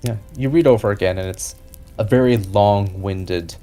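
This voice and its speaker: Low-voiced man as game master. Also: moderate pace, dark tone. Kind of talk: improvised narration of tabletop game. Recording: music behind the voice.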